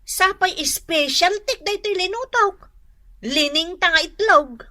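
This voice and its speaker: High, piercing Ilocano nagging wife. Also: female voice, expressive, takes it slowly, bright, forceful tone, full character voice. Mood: fussing. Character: fussy, complaining wife in a comic household. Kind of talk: comedy radio drama acting.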